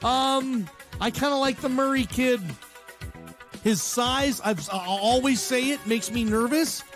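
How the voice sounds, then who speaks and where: High-pitched American sports radio guy. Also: measured pace, fairly bright tone, soft background music. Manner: conversational, opinionated, slangy, emphatic repetition. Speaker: sports talk radio host. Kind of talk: casual sports radio commentary. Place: radio show.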